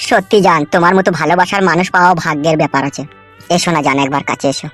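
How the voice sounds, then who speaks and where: A man plays a sentimental young cartoon lover, jilted and full of longing. He woos in an amateur comedy-dub style, speaking quickly with music underneath. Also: on the high side, fairly bright tone, natural voice. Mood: yearning, amorous. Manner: sentimental, wooing.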